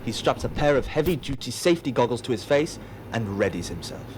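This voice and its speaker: A man's voice: medium pitch, lively intonation, fairly quick, background noise. A man giving scripted audio drama narration in a wry, theatrical manner.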